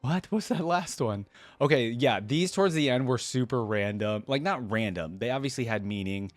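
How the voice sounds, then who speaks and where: A man's voice: medium pitch, animated, normal speed, dry recording. A man hosting a reaction video with casual, rambling, enthusiastic commentary.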